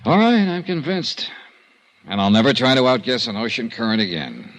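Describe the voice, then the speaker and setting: A man's voice: medium pitch, big pitch swings, moderate pace, a bit bright. An actor playing a dry, wry male insurance investigator in a 1950s radio drama, narrating in a clipped report style. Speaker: narrator and lead.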